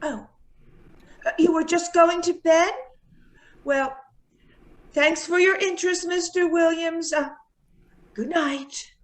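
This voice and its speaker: An actress in an amateur old-time radio play, voicing a frightened older aunt who pleads and stammers. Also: female voice, very high-pitched, fairly even intonation, slow pace, pauses often. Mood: nervous, polite. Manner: fluttery, pleading, hesitant.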